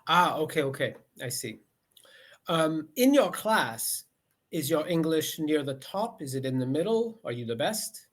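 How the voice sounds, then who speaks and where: A man's voice: on the high side, sing-song, measured pace. Patient man tutoring English. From United States. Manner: patient, often repeats okay and rephrases questions. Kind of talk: casual conversation lesson over video call.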